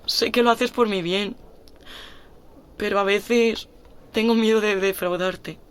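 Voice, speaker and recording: An anxious young female athlete acted by a woman, panicky and close to tears. She speaks slowly and breathlessly at a middle pitch in an audio drama. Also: steady tone, smooth, lightly acted. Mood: fearful, confessing.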